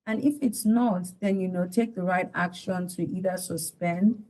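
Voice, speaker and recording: A Nigerian woman giving explanatory interview commentary as an analyst, deliberate and pausing mid-sentence. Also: lowish voice, fairly even intonation, a little mellow, rough recording. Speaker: studio guest analyst.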